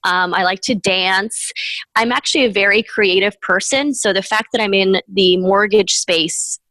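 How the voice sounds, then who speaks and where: A woman's voice: mid-range voice, moderate pace, pushed and bright, dry recording, low-quality recording. A woman, a mortgage professional whose first language was Spanish, chats as an interview guest in an upbeat way, laughing between points. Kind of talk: conversational interview.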